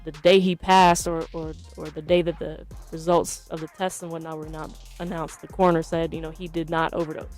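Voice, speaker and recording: A woman with a slightly low voice answers as an interviewed author. She explains carefully and hesitantly, choosing her words, over faint music. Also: flat delivery, brisk, few pauses, a little mellow. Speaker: journalist and author being interviewed.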